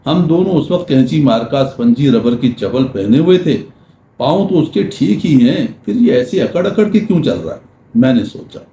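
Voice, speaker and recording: An older man giving a literary talk in measured, formal diction with deliberate pauses, reading prose and reciting verse. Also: mid-range voice, lively intonation, warm tone. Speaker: lecturer. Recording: roomy echo with faint background noise.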